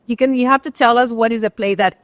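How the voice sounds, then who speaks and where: Bilingual woman host, pitched a bit high, effusive, dry sound. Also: fast, no room sound. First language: Spanish. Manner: effusive, animated. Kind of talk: enthusiastic bilingual interview. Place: restaurant.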